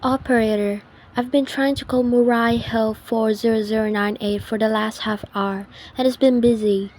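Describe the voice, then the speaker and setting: A woman's voice: a little high, steady tone, normal speed, darkish tone, slight background noise, close to an everyday voice. A woman playing an anxious, imperious, genteel telephone caller in a dramatic radio thriller style, proper and insistent. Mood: impatient. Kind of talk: old-time radio thriller acting.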